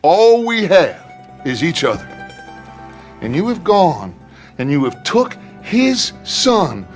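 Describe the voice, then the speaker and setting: A middle-aged American outlaw chief with a silver tongue and a grand, oratorical delivery. His voice sits slightly high for a man and moves slowly through wide rises and falls, over a music bed, as in a western game cutscene. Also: very animated. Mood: smoothly persuasive. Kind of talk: dramatic western video game acting.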